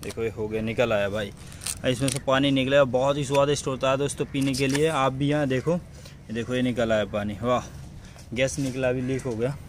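A man's voice: medium pitch, fairly even intonation, a little fast. Young male vlogger, chatty with viewers, phone at arm's length outdoors, heavy background noise. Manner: chatty, addresses viewers as friends. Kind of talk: casual travel vlog. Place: outdoors on a beach.

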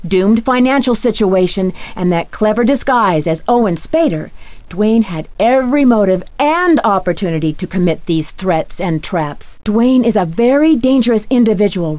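A woman playing an earnest, sharp young female detective in a video game, speaking inquisitively and with clear diction. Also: mid-range voice, no echo, close to an everyday voice. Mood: grave, accusing.

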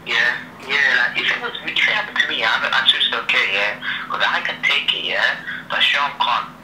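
A man's voice: medium pitch, moderate pace, pushed and bright. A young man chatting casually on a phone call, slangy and repetitive, tagging sentences with "yeah" and "innit". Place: phone speaker held up in a room. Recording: noisy, echoey speakerphone call.

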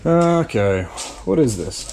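A man's voice: on the high side, very animated, takes it slowly, gravelly. A man reselling storage unit finds, thinking aloud with wry asides as he digs through boxes, filmed on a head-mounted camera in a metal storage hallway. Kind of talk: casual vlog narration while unboxing. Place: indoor self-storage hallway, metal walls. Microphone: head-mounted action camera. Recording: noisy, crinkling and rustling behind.

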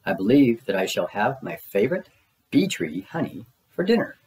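A gentle little bear from a children's storybook, voiced by a man. He muses in a simple, childlike, earnest way, his pitch moving expressively. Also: sing-song, normal speed, lightly acted. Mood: musing, content.

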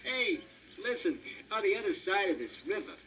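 A man's voice: high-pitched, big pitch swings, bright, forceful tone, full character voice. Man playing a sly storybook crocodile, huckster patter, swooping up and down, dull noisy tape. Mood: coaxing. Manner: fast-talking huckster patter with "hey" and "I mean". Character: scheming male crocodile, wheedling and gruff. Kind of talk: storybook character voice. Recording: dull noisy old recording.

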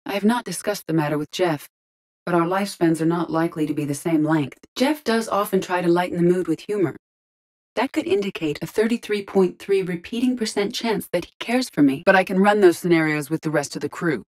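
Woman doing a lowish female robot ai from a sci-fi game, super calm n logical, curious, clinical n exact wording. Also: lightly acted. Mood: composed, analytical. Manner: precise, measured, formal, clinical. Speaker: video game voice actor. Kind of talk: video game character voice lines.

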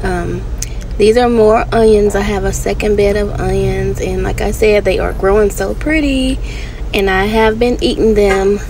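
A woman's voice: medium pitch, darkish tone, slight background noise, poor audio. A woman narrating a casual garden tour as channel host, speaking informally and gushing over small details.

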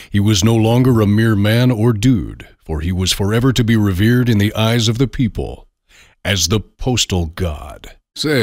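Man playing a low-voiced, sardonic game alter ego. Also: natural voice. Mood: grandly narrating, then wry, prodding. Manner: sarcastic, taunting, theatrical delivery. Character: sardonic, smug alter ego of an antihero, psychotic. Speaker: video game voice actor. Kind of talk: scripted video game character lines.

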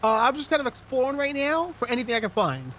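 A man with a high, very animated voice trades playful, mock-serious banter in a multiplayer game. Faint vehicle noise sits behind him. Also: fast. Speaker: gamer in group roleplay. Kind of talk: casual joking gameplay banter. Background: game vehicle sounds.

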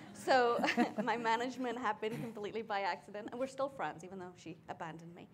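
A woman's voice: on the high side, brisk, slight background noise. Middle-aged woman on a conference panel. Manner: conversational, frequent filler ums. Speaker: tech executive panelist. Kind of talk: panel discussion. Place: conference stage seated in armchair.

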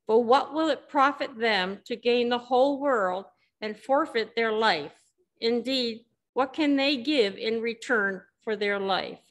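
An older woman reads scripture aloud in a church sanctuary. Her voice sits a little high, and her delivery is measured, picked up by a webcam a short distance away. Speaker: scripture reader.

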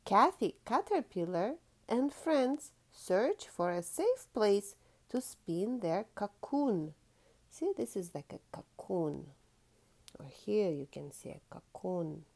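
A woman's voice: medium pitch, big pitch swings, slow pace, vocal fry, darkish tone. A woman hosting a children's storytime, reading a picture book aloud expressively, drawing out key words and prompting young listeners like a teacher.

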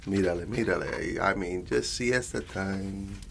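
Guy doing a doting old grandpa w spanish accent, low creaky voice, rambles and repeats himself, asmr bedtime story, bit of hiss. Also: a little slow, fairly bright tone, exaggerated voice. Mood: chuckling, approving. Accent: Spanish-accented English. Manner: rambling, repeats phrases, slips between English and Spanish.

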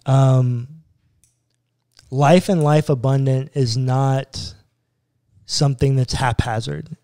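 A man in his thirties, a pastor, earnestly explaining a Bible passage step by step in a podcast conversation, close to a boom mic in a small studio. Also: steady tone, takes it slowly, dark tone, dry recording. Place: small studio room with bookshelves.

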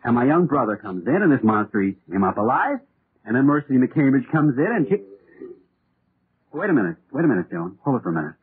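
An actor playing an excitable, overwrought man obsessed with monsters in an old radio horror drama. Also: mid-range voice, brisk, slightly rough. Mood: excited, chatty. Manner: intense, overwrought. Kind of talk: 1930s radio drama acting. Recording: scratchy, phone-like old radio recording.

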